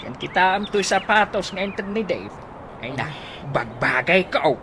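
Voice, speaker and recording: Boastful old Ilocano father, very lively intonation, radio drama, clear background noise. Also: high-pitched, moderate pace. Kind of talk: broadcast radio comedy drama acting.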